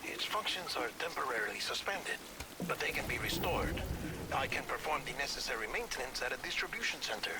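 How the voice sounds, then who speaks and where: An actor playing a clinical, reassuring technical specialist in a video game. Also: male voice, medium pitch, sing-song, fairly quick, recorded from a distance, very noisy, lightly acted. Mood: reassuring, urgent. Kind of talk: cinematic video game dialogue.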